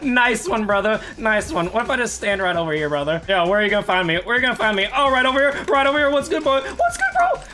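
A young man streaming a game, excited and laughing, hoarse from losing his voice, his voice cracking high. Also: fast talker, few pauses. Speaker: gaming streamer. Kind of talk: excited gameplay commentary. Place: home gaming setup, indoors.

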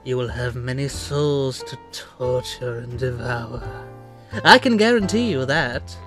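A man's voice: medium pitch, a little slow, over music, close to an everyday voice. A man playing a suave, menacing radio demon in a cartoon dub, talking with theatrical, condescending politeness. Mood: sinister courtesy. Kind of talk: cartoon comic dub acting.

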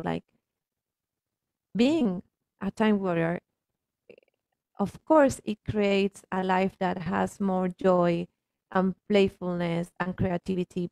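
Casting: Argentine-accented woman, native Spanish speaker, earnest coaching talk, mid pitch, gravelly fry, dry close sound. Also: darkish tone, no room sound. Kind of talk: reflective coaching conversation.

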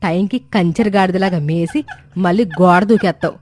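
A woman voicing a quarrelsome, nagging older mother-in-law in an animated moral story. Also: fairly low pitch, normal speed, close to an everyday voice. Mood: scolding. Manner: sharp-tongued, nagging.

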